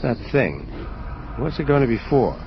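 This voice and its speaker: An actor playing a terse, commanding angel detective in a hardboiled audio drama, curt and dry. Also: male voice, mid-range voice, sing-song, moderate pace. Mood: dry, probing. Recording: dull sound over clear background noise.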